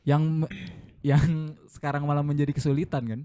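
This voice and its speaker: A man's voice: fairly high pitch, flat delivery, dark tone, no echo. A man co-hosting a casual podcast chat who keeps chiming in with short agreements and background facts. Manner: chimes in with facts and quick agreement. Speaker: podcast co-host.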